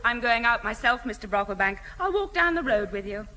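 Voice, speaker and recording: An actress playing a brisk, bossy, exasperated older sister in a radio sitcom, with a snappy way of talking. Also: female voice, on the high side, animated, pushed and bright. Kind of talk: 1970 radio sitcom acting. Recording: hissy old radio broadcast, reverberant theatre hall.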